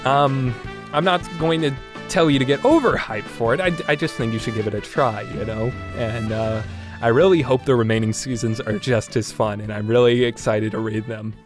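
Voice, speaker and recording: A man reviewing on his own podcast, casual and talkative, his pitch jumping up when he gets excited. His voice sits at an ordinary middle pitch. Guitar music plays clearly underneath him. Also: moderate pace. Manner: enthusiastic, animated when excited.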